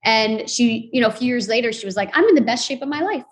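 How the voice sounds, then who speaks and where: A woman of forty with a fairly high voice speaks as an interviewed fitness instructor. She is informal and conversational, stressing her points in an encouraging way. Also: lively intonation, fast talker. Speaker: podcast guest, fitness founder and instructor.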